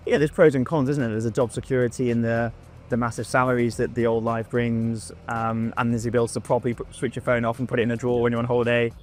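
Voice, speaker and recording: A man, a former lawyer turned founder, speaking casually with halting starts. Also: medium pitch, flat delivery, a little mellow, soft background music. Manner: halting starts, repeats words. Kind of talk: casual panel discussion.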